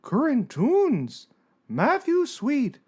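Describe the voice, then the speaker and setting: Downcast cartoon character, acted by a voice performer, slow and doleful journal reading with melodic swings. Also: high voice, wildly swooping pitch, heavily acted. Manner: doleful, deliberate. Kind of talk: slow dramatic reading of a moody blog.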